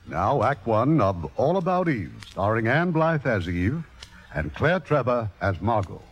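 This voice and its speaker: A man's voice: mid-range voice, very animated, unhurried. A man hosting with a formal, scripted introduction in 1950s radio style. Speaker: producer and host. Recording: muffled old radio recording. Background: music.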